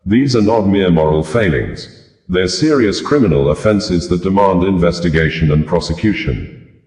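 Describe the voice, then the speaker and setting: Deep-voiced male news reader, echoey room. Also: expressive, moderate pace, darkish tone. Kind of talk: scripted news narration. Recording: roomy, echoing voice track.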